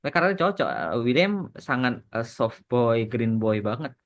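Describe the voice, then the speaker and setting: A man's voice: medium pitch, lively intonation, a little fast. A man in casual podcast conversation, explaining things as a creator, slipping English phrases into his speech. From Indonesia. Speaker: animation creator answering questions on a podcast.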